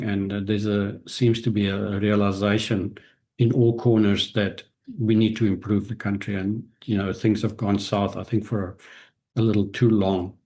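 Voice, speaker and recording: A South African man speaks in a low, fairly even voice with little rise and fall. He answers interview questions reflectively and often restarts his sentences. English is his second language after Afrikaans. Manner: reflective, frequent restarts and hesitations. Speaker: guest, author and business strategist.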